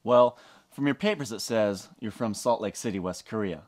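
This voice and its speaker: A man's voice: lowish voice, normal speed, darkish tone, lightly acted. A young man playing an overdramatic paranoid agent. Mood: formal. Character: overserious male fellow agent, paranoid. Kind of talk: amateur comedy sketch acting.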